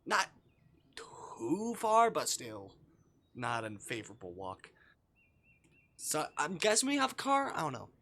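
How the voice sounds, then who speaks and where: Animated, bright-voiced man livestreaming. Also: a little high, measured pace, pauses often. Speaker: livestreamer playing a visual novel. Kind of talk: casual gaming stream, reading game text aloud with offhand commentary.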